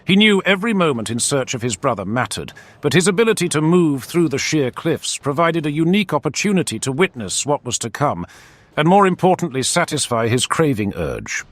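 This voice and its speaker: A man's voice: on the high side, very animated, a little fast, dry recording. A man narrating a fantasy war story in a scripted, dramatic storytelling style.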